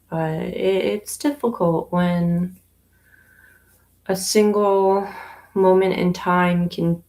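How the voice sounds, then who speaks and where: A young adult woman from Canada, a yarn shop owner, chatting casually on a livestream while she knits, relaxed and unhurried, with plenty of ums and pauses. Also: fairly low pitch, creaky. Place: inside her yarn shop.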